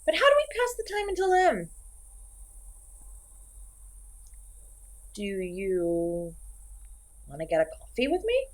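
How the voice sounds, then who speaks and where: Woman acting a high-pitched, melodramatic failed slasher. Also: wildly swooping pitch, normal speed. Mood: deflated, awkward. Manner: theatrical, exasperated, swings between sulky and manic. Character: failed slasher killer, woman, melodramatic, insecure, petulant, comically chatty. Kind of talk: comedic horror audio roleplay, acted monologue to listener.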